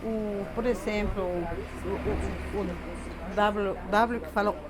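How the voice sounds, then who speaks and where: Older Bolivian woman, halting speech. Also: medium pitch, fairly even intonation, a crowd in the background. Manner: halting, repeats words, frequent tag questions. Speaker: interviewee. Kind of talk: casual interview talk.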